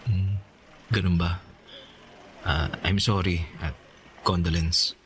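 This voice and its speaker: Earnest deep-voiced family man. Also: little pitch movement, slow, fairly bright tone, slight background noise. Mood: sympathetic. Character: married father of two, earnest and sincere. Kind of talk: Tagalog radio drama acting.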